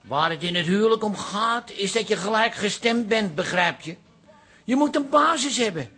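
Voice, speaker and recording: Very raspy, gossipy old auntie. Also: female voice, mid-range voice, lively intonation, moderate pace, fairly bright tone. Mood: meddling, earnest. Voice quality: very husky, raspy. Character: gossipy, nosy, blunt older auntie. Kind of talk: radio drama dialogue.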